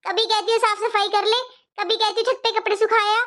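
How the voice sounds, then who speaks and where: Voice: very high-pitched, little pitch movement, brisk, a bit bright. A performer voicing a resentful, defiant young married sister-in-law in a cartoon moral story, complaining and scolding. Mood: indignant. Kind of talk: animated moral story dialogue.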